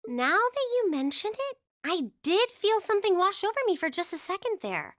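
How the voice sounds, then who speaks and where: A woman's voice: very high voice, very animated, moderate pace, fairly bright tone, no room sound, clean studio sound, heavily acted. A woman voicing an earnest, caring teenage girl fighter in a video game. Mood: amazed. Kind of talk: English video game voice acting.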